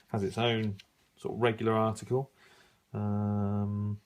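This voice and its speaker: A middle-aged man giving a casual, unscripted review, musing and halting as he thinks aloud while browsing. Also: on the low side. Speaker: radio hobbyist reviewing an old magazine.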